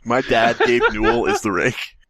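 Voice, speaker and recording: A man's voice: mid-range voice, fairly even intonation, normal speed, fairly bright tone, no room sound. A man hosting a comedy podcast, chatting casually and riffing on absurd jokes. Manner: joking, riffing on absurd bits. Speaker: podcast host.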